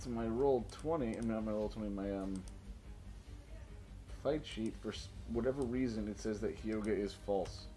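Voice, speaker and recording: A man's voice: lowish voice, moderate pace, dark tone, faint music behind. A man hosting a tabletop game stream, chatting casually and explaining dice rules in an informal, jokey way. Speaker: game master and stream host.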